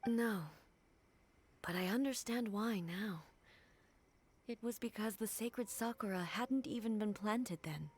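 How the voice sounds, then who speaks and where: A woman voicing a grave, composed female ruler-goddess in a game, speaking calmly and deliberately. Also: medium pitch, slight background noise, natural voice. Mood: solemn. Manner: calm, deliberate and earnest. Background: game soundtrack music.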